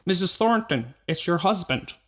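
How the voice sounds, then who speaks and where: An actor in a radio play as a brisk, placating man who soothes and dismisses fears. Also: high-pitched, lively intonation, a bit bright. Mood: reassuring. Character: reassuring, dismissive man calming a frightened woman.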